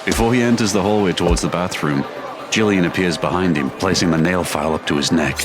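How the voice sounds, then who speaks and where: Deep male narrator of dramatic fiction. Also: fast talker. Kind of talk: scripted dramatic story narration. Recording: music playing behind the narration.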